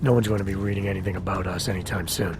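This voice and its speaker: An actor playing a stoic, guarded covert agent in a video game cutscene, with a gravelly voice and a terse, deadpan manner. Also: male voice, deep voice, very fast, natural voice. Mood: cool, guarded. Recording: loud music behind the voice.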